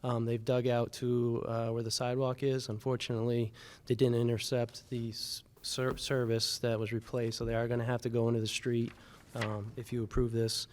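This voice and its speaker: Flat-voiced man explaining city policy. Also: mid-range voice. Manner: measured, many fillers, matter-of-fact. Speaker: city public works official. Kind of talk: explaining at a public meeting. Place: city board meeting room.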